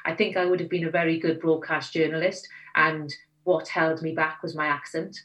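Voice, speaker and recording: A Welsh woman in middle age, guesting on an interview podcast. Her voice sits a little low yet rings bright and forceful. She speaks frankly and stresses her points with conviction. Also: little pitch movement, normal speed, low-quality recording. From Wales. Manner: candid and emphatic. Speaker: magazine editor, guest.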